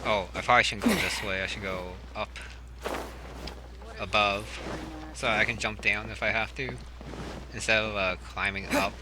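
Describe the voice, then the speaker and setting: A man's voice: on the low side, fairly even intonation, moderate pace, pauses often, background noise. A man giving casual let's play commentary, muttering and thinking aloud as a gamer. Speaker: gamer narrating own playthrough. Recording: game music behind the voice. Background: game music.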